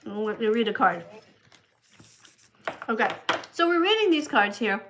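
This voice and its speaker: A woman's voice: a little high, big pitch swings, moderate pace, noisy background. A woman hosting a casual livestream, chatty as she reads viewer comments aloud. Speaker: livestream host.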